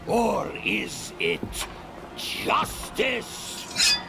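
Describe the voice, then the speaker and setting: Fanatical religious zealot, high raised voice, gravelly edge, grand declamatory delivery, merciless, video game drama. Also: male voice, wildly swooping pitch, extremely slow, over music, exaggerated voice. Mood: indignant. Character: fanatical male church zealot preaching merciless justice. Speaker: religious leader in a fantasy game. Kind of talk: dramatic game cutscene oratory.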